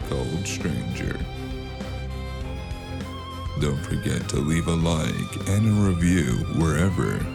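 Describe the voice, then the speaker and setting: A man with a gravelly voice reading the closing thanks and credits as host of an audio drama podcast. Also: very deep voice. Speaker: podcast host and cast member. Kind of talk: scripted podcast outro.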